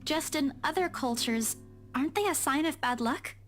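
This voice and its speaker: Awkward young woman, very high voice, polite, hesitant, visual novel dialogue, guitar music behind. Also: animated, moderate pace, fairly bright tone. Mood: friendly, tentative. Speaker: video game voice actor. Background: background guitar music.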